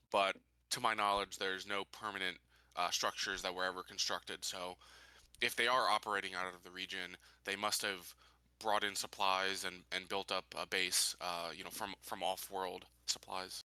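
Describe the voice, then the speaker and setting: Man as a very bright, clear colony security leader. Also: low voice, fairly even intonation, no echo. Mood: analytical. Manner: deliberate, measured, pausing to think. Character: measured, businesslike male colony security leader in sci-fi game. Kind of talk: improvised in-character tabletop roleplay.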